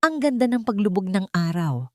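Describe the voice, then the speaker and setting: A woman narrator plays a warm young Filipina caregiver in a love story. She speaks tenderly and earnestly, full of hope, in a dry, close sound. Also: mid-range voice, sing-song, moderate pace, no echo, close to an everyday voice.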